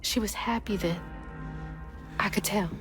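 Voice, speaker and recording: A woman's voice: mid-range voice, measured pace, close to an everyday voice. A woman playing an earnest, caring young heroine in a video game, acted naturalistically. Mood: gentle, concerned. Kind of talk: naturalistic game drama acting. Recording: music behind the dialogue.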